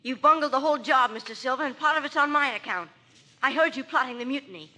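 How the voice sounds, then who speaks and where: A man's voice: extremely high pitch, quick pace, bright, forceful tone, full character voice. A male actor playing a plucky, honest young cabin boy, earnest and bold, in a dramatized adventure story. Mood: defiant. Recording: very noisy, crackly old record.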